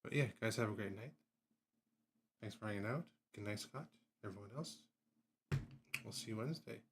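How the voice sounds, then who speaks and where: A man's voice: fairly low pitch, moderate pace, vocal fry, slight background noise. A man hosting a livestream, chatting casually and making offhand remarks to viewers. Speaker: livestream host. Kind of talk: casual chat.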